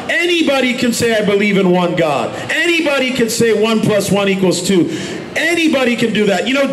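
A man in his thirties preaching in the open air on a city street at night into a handheld microphone, often raising his voice to a shout. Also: very high voice, echoey room, a little hiss or hum, poor audio. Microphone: handheld microphone through a street speaker.

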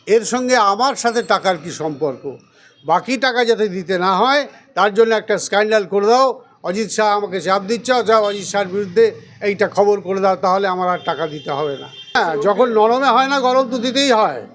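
A man's voice: high voice, animated, moderate pace, a bit bright. A man, speaking emphatically and defensively as a local politician in a news interview, often using rhetorical questions. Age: 60s. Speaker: local politician answering a reporter. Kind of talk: news interview statement, rebutting accusations.

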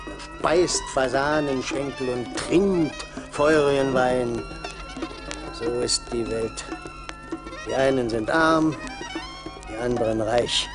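An actor playing a poor, weary porter, humble and resigned, in a theatrical radio play manner. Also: male voice, mid-range voice, lightly acted. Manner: lamenting, deferential.

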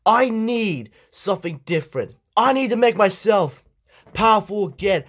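A man's voice: sits high, animated, normal speed, fairly bright tone, voice pushed up, heavily acted. A man voicing a furious, bitter wrestler. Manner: ranting, indignant. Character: angry male pro wrestler, bitter and boastful. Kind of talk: fan-made wrestling roleplay.

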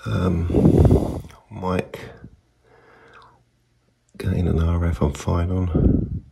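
A man with a husky voice giving a casual, unscripted demonstration as a hobbyist, hesitant and thinking aloud. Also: low-pitched, level tone, extremely slow, noisy background. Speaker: video maker, CB radio hobbyist.

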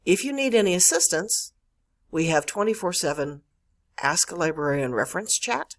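A woman narrating an instructional screencast as a librarian, explaining step by step with emphasis on key words. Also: low voice. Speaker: college librarian giving a catalog tutorial. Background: screen-recorded voice-over.

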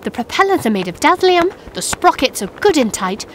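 A woman's voice: high voice, sing-song, a little fast, background noise. A woman voicing a confident, determined young female crow in a children's cartoon, self-assured and emphatic.

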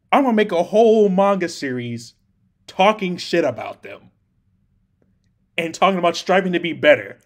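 A man in his twenties with an American accent giving casual, slangy reaction commentary. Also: high voice. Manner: slangy, exclamatory. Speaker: anime reaction host. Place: small room at home.

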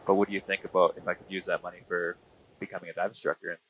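A man's voice: low-pitched, level tone, rapid-fire, breathy edge, highs cut off. A man in his thirties with a western Canadian accent, telling stories casually in an interview over an aviation headset mic. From British Columbia, Canada. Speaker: interview guest, diver and filmmaker. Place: small plane cockpit in flight. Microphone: aviation headset boom. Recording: close aircraft headset intercom.

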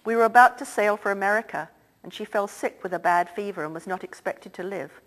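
A woman's voice: medium pitch, fairly quick, fairly bright tone, close to an everyday voice, low-quality recording. A woman reading the part of an older, wealthy Irish-born theatre man who talks in a confiding, lilting way. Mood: somber. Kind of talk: audiobook character reading.

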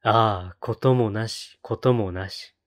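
Low-pitched man reciting poetry very slowly. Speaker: poetry reader on a read-aloud channel.

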